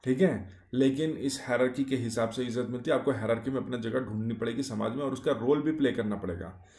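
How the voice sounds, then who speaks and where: A man's voice: medium pitch, quick pace, dark tone. Guy rambling through an explainer, lots of "uh"s and "why?"s, roomy echo. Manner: talks in short clauses, many fillers and rhetorical questions. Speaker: YouTube explainer. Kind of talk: casual explainer monologue. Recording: echoey room.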